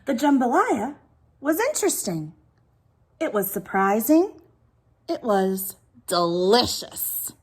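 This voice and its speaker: A woman teacher reading a children's storybook aloud in an expressive, emphatic way. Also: a little high, slow pace, long pauses, slightly rough. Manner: animated, emphatic delivery.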